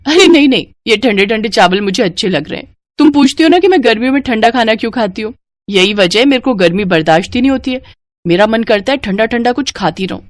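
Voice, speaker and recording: Woman acting a stubborn young daughter-in-law, higher pitched. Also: expressive, quick pace, no echo, lightly acted. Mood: dismissive, insistent. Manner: insistent, dismissive. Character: young stubborn daughter-in-law who loves cold food. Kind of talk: acted dialogue in a moral story.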